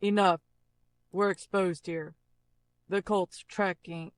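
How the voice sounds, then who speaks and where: A performer as a slow, grim robotic cyborg. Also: fairly even intonation, smooth, no echo. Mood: stern, urgent. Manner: terse, dramatic line delivery. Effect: pitch-shifted robotic effect. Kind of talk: scripted character voice-over demo.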